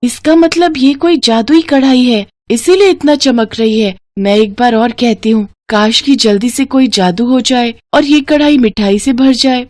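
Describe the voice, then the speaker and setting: Poor, caring girl played by a female narrator for a storybook tale; raised pitch, earnest and protective, dry sound. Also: measured pace, hardly any pauses, a little mellow, no room sound, lightly acted. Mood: amazed. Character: poor, caring big sister scrap-picker girl. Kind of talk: moral story character acting.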